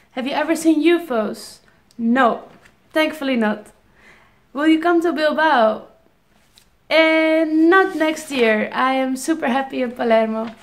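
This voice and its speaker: A woman's voice: sits high, reverberant. A Dutch-accented woman in her twenties, reading out viewer questions and answering them casually with ums and restarts. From Netherlands. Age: 20s. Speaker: airline pilot vlogger. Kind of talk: casual Q&A vlog.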